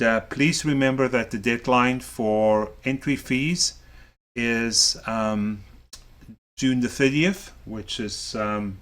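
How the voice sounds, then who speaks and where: Man with a South African-Canadian accent, mid-pitched, an easygoing organizer who rambles with fillers. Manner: rambling, filler-heavy, relaxed. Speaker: series organizer. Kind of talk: casual show-and-tell video.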